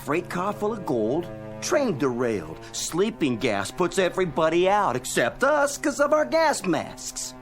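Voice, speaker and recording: An eager, simple henchman chatters with plainspoken admiration. The man's high voice rises and falls in lively radio drama style over faint music. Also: a little slow. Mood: admiring.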